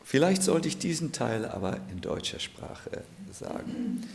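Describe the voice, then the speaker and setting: Gravelly, unhurried spiritual teacher, a man. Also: on the high side, very animated. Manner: unhurried, gently joking, thinking aloud. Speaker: spiritual teacher leading an evening gathering. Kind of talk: informal talk to a seated audience. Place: room with a live seated audience. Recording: live audience heard around the speaker.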